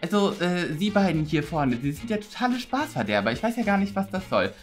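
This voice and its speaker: A woman's voice: fairly low pitch, very animated, a little fast, faint music behind. A woman playing a silly, carnival-crazy teacher in an improvised comedy sketch, rambling and pleading in a bubbly, easily offended way. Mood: pushy, excited.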